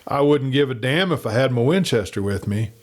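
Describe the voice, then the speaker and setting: A man's voice: medium pitch, expressive, fairly quick, lightly acted. A man voicing a boastful, stubborn cowboy outlaw, blustering in folksy western talk for an audiobook.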